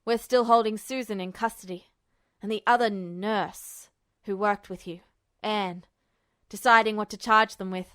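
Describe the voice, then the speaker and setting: A woman's voice: medium pitch, fairly even intonation, unhurried, a bit bright, clear, resonant tone, no room sound, clean studio sound, voice pushed down, natural voice. A woman voicing an earnest, apologetic military man in acted audiobook dialogue. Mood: regretful, earnest.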